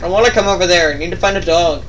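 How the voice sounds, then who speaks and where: A man's voice: high-pitched, rapid-fire, a bit bright, a little hiss or hum, rough recording. A man playing a video game and bantering casually, with a goofy, mock-dramatic, exclaiming manner. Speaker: let's play player and co-commentator.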